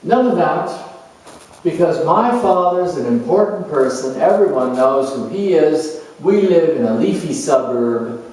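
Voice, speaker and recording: Casting: man portraying a jaded young son in a dramatic monologue, halting and slow, recorded in a very echoey room. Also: on the high side, expressive, a little mellow, pitched above the performer's own voice. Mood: resigned, resentful. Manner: weary, halting, resigned. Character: bored, burdened young Capricorn man resenting his father. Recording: distant mic in echoey room.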